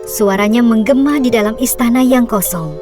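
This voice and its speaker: High-voiced woman narrating a children's fairy tale. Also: moderate pace. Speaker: storyteller, narrator. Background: music.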